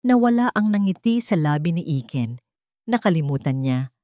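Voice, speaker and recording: Low-pitched Filipino woman narrating a romance. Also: sing-song, normal speed, dark tone, dry recording, studio quality. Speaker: storyteller. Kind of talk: scripted dramatic narration of a romance story.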